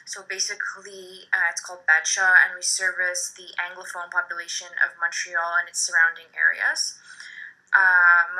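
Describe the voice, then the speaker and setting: A woman's voice: fairly low pitch, pushed and bright. A woman in her thirties from Quebec, introducing herself for a course recording, hesitant with frequent fillers and self-corrections. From Quebec, Canada. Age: 30s. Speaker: social work student. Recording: tinny phone-like recording.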